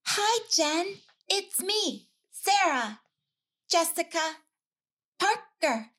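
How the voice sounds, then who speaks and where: A woman doing an impression of a gushing, desperate middle-aged actress in a comedy sketch, with drawn-out theatrical phrasing. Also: extremely high pitch, very animated, fairly bright tone, pitched above the performer's own voice, exaggerated voice. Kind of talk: comedy sketch table read.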